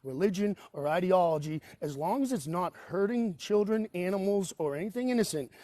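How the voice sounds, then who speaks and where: A man in his forties with a Boston accent, calling into a talk show and rambling in an animated way with frequent restarts. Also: sits high, brisk, dry recording. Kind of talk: casual talk-show call.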